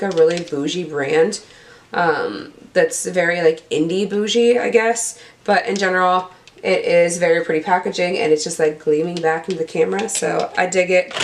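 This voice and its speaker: A young woman with a cold-roughened, hoarse voice, chatting casually as she unboxes beauty products and stumbles over product names. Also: lowish voice, slight background noise. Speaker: beauty vlogger.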